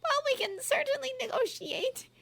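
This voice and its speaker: A woman voicing a shrill, pompous middle-aged witch official who shrieks and screams. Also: sing-song, fast, vocal fry, sharp tone, full character voice. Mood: shrill pleading. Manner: shrieking, pleading then screaming.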